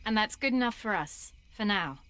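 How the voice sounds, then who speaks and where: A woman's voice: medium pitch, expressive, moderate pace, fairly bright tone, clean tone, natural voice. Casting: actress as level-headed woman soldier for a game, mid-range voice, firm, dry, composed. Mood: earnest, firm. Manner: composed, persuasive. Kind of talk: video game cutscene acting.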